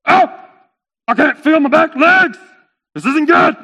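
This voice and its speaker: Guy doing a talking camel for a funny story, laid back n folksy, kinda high voice, always wheedling. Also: very animated, normal speed, sharp tone, exaggerated voice. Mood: alarmed. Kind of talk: comic oral storytelling.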